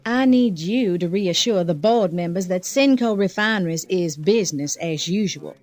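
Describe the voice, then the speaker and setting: An actress playing a haughty, calculating fiancée in an audio drama, with a sardonic, sharp-tongued manner. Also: female voice, on the low side, lightly acted. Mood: imperious. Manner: unhurried, sardonic.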